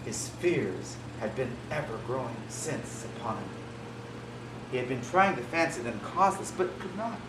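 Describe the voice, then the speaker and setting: A man's voice: mid-range voice, expressive, normal speed, natural voice. A man giving a theatrical gothic horror reading as a nervous, obsessive madman confessing to murder. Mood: brooding, hushed. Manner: theatrical, swinging from hushed to loud. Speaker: dramatic reader. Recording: roomy, distant microphone, noisy background.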